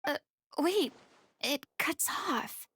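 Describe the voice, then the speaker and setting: A woman voicing a young stage star in a video game, theatrical, compassionate and pensive, with a breathy voice. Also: high voice, lively intonation, very slow, a bit bright, no room sound, heavily acted. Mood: sombre, tender.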